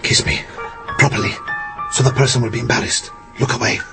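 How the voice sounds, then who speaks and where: An actor playing a furtive, quick-thinking and courteous male secret agent in a radio drama, speaking in hushed, terse phrases. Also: mid-range voice, steady tone, gravelly, over music, natural voice. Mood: urgent, hushed.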